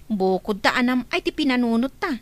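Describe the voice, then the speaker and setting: Defensive grown daughter played by an actress, very high voice, Ilocano accent, radio drama delivery. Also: female voice, animated, a little fast, dry recording, close to an everyday voice. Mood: casual, planning. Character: grown daughter working away, defensive about house purchase.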